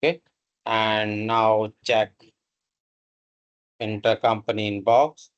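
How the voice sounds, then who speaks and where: A man narrating a step-by-step software tutorial in a halting, instructional way, with pauses between short phrases. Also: fairly low pitch, level tone, highs cut off.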